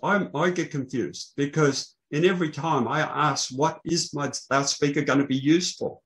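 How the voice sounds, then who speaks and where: An older man presenting technical material on a video call, explaining in a halting way. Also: a little high, a bit bright. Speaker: presenter, loudspeaker engineer. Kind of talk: technical presentation to an online meeting. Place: small indoor room, online video call. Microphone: video call microphone.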